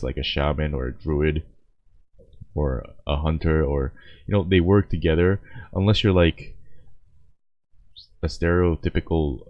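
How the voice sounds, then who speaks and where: A man giving casual, off-the-cuff reaction commentary, halting and rambling as he thinks aloud. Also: a bass voice. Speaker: video creator reacting to a game cinematic. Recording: dull, roomy, echoey home recording.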